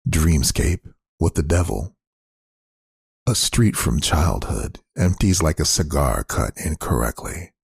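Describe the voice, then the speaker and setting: Low, husky man reading poetry. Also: lively intonation, takes it slowly, darkish tone, dry recording, clean studio sound. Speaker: poetry reader.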